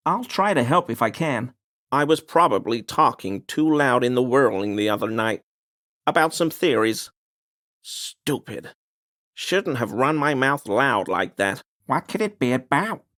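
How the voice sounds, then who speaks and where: A man's voice: mid-range voice, fairly bright tone. A male voice actor playing a nervous, ingratiating pie deliveryman with bigoted suspicions in a video game, talking in an obsequious, evasive way. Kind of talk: scripted game dialogue.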